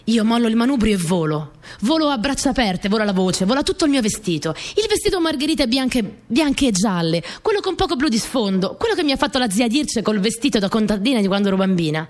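An actress playing a spirited young wartime partisan courier girl in an expressive radio theatre monologue. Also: medium pitch, natural voice. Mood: excited, exuberant. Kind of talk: dramatic first-person monologue for radio theatre.

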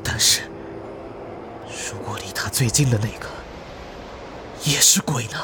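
An actor playing an anxious man trapped in a horror drama, reasoning tensely to himself in deliberate inner monologue. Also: close to an everyday voice. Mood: tense, uneasy. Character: anxious male player trapped in a ghost task. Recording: noisy train ambience. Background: train rumble.

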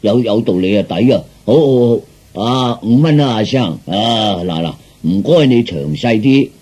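An actor playing a gullible, hesitant old man, an eager customer in a comic story, agreeing in halting, repetitive mumbles. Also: on the low side, expressive, warm tone, slightly rough. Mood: eager agreement. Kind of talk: theatrical Cantonese comic storytelling.

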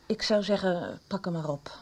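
An actress in a Dutch radio soap playing a hesitant, reluctant family doctor who chooses words with care. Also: female voice, lowish voice, normal speed, darkish tone, slightly rough. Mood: reluctant, cornered. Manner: hesitant, careful.

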